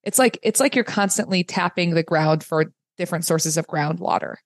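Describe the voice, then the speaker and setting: A woman giving a guided reading in a calm, explanatory manner. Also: lowish voice, moderate pace, no echo, studio quality. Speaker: human design reader, host.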